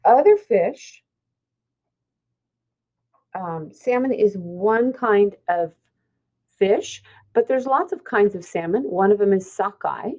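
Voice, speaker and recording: Woman reading aloud with lively, swinging pitch. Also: slow, pauses often. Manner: explanatory, pointing things out simply. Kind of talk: read-aloud of a children's science book.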